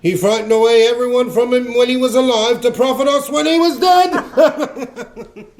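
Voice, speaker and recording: An actor in a community radio play, playing a sly, gossiping rag dealer who talks colloquially and with mock generosity. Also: male voice, very high-pitched, moderate pace, darkish tone. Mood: amused haggling.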